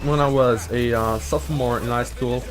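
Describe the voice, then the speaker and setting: A man's voice: mid-range voice, fairly even intonation, moderate pace, slight background noise. Profane rambling Quebecer guy. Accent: Quebec French, partly Americanized. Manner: rambling, profane, many fillers and restarts. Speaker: YouTuber answering Reddit questions. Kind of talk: casual vlog monologue.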